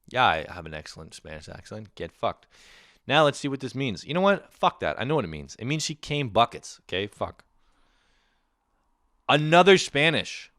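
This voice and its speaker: A man's voice: medium pitch, very animated, moderate pace, fairly bright tone, dry recording, clean studio sound. A man hosting a comedy podcast, reading reviews aloud with crude, sarcastic, mocking commentary. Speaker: podcast host.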